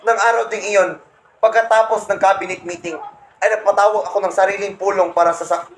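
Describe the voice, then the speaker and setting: A man's voice: high-pitched, fairly bright tone. A man playing a proud, resentful Satan who tells his schemes indignantly in a comedic radio play. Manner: boastful, indignant storytelling. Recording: roomy echo, some background noise.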